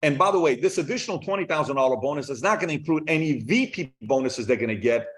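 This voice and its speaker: A man's voice: on the high side, lively intonation, quick pace. A man leading a business meeting, announcing contest results in an upbeat, hyped way. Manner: upbeat and hyped, with bursts of enthusiasm.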